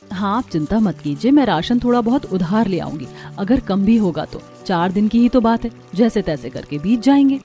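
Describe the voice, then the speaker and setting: A gentle young cartoon mother played by a woman. Her voice is warm and mellow at a middling pitch. She sounds soothing and caring, with light music behind. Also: expressive, moderate pace, natural voice. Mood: reassuring. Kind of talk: cartoon story acting.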